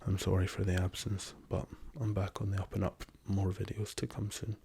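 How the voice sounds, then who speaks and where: A young man with a hoarse, raspy voice from a lingering cough, talking softly and hesitantly as an ASMR host, slipping into whispers. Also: low-pitched, level tone. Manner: soft-spoken, slow and hesitant with many ums, drifting into whispers. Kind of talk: soft-spoken ASMR travel chat.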